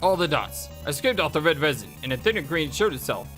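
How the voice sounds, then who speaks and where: A woman's voice: low voice, sing-song, fast, a bit bright. A woman hosting a let's play, switching between reading game narration aloud and casual commentary. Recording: game music behind. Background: video game music.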